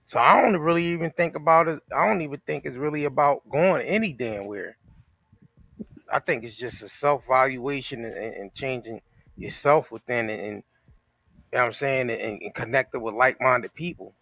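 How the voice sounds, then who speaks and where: A man's voice: medium pitch, moderate pace, sharp tone, no echo. An American man co-hosting a casual talk show, speaking in an emphatic, repetitive, slangy and profane way. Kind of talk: casual opinionated chat.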